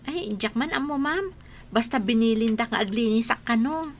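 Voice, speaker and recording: A woman's voice: a little high, normal speed. A woman with an Ilocano accent playing a polite, hardworking young student cleaner in a comedy radio drama. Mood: deferential. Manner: respectful, deferential.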